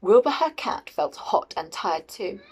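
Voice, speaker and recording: A woman reading a picture book aloud to children in an expressive, teacherly, questioning way. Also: medium pitch, unhurried, breathy edge, whispered.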